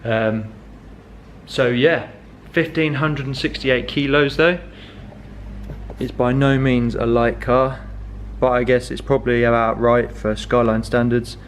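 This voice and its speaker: A man's voice: medium pitch, steady tone, a little slow, a little hiss or hum. A young man chatting casually in a car vlog, rambling with many ums and correcting himself as he goes. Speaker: car vlogger.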